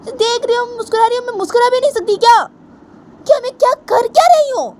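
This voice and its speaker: A woman voicing a defiant young woman who protests in a very high, whiny voice, over traffic noise. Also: fast. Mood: indignant. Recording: noisy, traffic in background.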